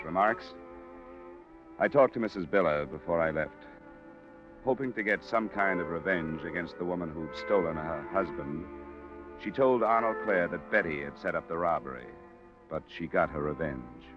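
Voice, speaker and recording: An American actor playing a hard-boiled insurance investigator, terse and dry, in 1950s radio noir style. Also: male voice, sits low, close to an everyday voice. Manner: terse, dry, matter-of-fact. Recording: muffled old radio recording, music behind.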